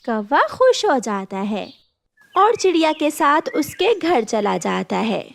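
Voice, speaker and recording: A woman's voice: sits high, big pitch swings, slow pace, gravelly. A woman narrator reading a scripted children's story for a cartoon.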